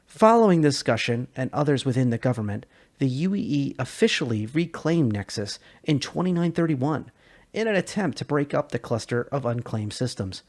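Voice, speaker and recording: A man's voice: medium pitch, big pitch swings, moderate pace, a little mellow. A man giving a dramatic scripted narration of science fiction lore with theatrical, emphatic phrasing. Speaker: narrator, lore video creator.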